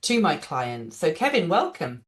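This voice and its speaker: A woman's voice: deep voice, big pitch swings, measured pace. A woman hosting a podcast interview, asking friendly, chatty, rambling questions. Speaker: podcast host. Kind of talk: conversational interview.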